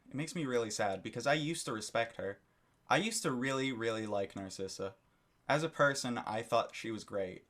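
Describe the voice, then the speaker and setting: A man's voice: fairly low pitch, fairly bright tone. A man giving a critical commentary monologue for his video channel, lecturing and disapproving, often repeating his phrases. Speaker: YouTube commentator.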